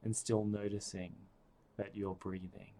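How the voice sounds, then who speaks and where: A man guiding a breathing meditation, speaking softly and soothingly with long pauses. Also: fairly low pitch, little pitch movement, gravelly, a little hiss or hum. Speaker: meditation guide.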